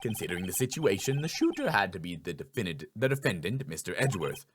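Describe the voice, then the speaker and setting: A man's voice: low voice, huge pitch swings, fast talker, a little hiss or hum, voice pushed down. A man voicing a haughty, ruthless prosecutor in a video game, condescending and theatrical in his emphasis. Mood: cold, assertive.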